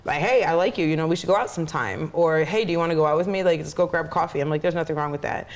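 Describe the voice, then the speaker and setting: A woman's voice: low voice, very fast. A woman chatting casually as a podcast co-host, candid and sweary, telling stories animatedly and acting out what people said. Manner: candid, profane, animated storytelling with acted-out quotes. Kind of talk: casual chat with a friend.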